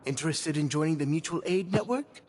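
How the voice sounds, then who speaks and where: An actor playing an inviting recruiter handing out flyers in a video game. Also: male voice, a little high, fairly quick, darkish tone, a little hiss or hum, lightly acted.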